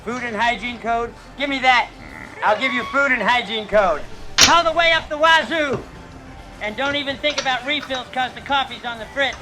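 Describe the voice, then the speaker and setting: A woman's voice: on the high side, normal speed, close to an everyday voice. An actress voicing a surly, sassy female truck-stop server who talks in threats, in a motion comic. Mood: irritated. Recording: loud music bed under voice.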